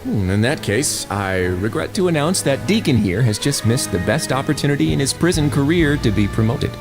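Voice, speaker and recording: An actor playing a composed, mysterious prison duke in a video game, speaking in a polite, deliberate, authoritative way over music. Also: male voice, mid-range voice, animated, close to an everyday voice. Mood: cool, courteous. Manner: measured, polite, smoothly deliberate. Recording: music behind the voice.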